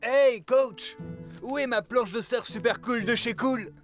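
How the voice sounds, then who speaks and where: A man's voice: extremely high pitch, a little slow, faint music behind. A man playing a carefree surfer-dude footballer in a French cartoon dub, drawling and easygoing. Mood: laid-back weary.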